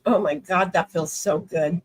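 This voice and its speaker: A woman's voice: steady tone, unhurried, husky. A woman streaming a card game, chatting and rambling from a hot room at home. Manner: chatty, rambling, self-described high pitchy voice. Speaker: streamer playing online cards with friends. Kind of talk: casual chat while gaming.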